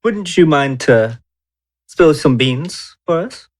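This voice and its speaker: A man takes part in a tabletop roleplay. He has a slightly high voice. His delivery is casual and playful, with a mock-polite turn to it. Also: animated, takes it slowly, darkish tone. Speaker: tabletop game player.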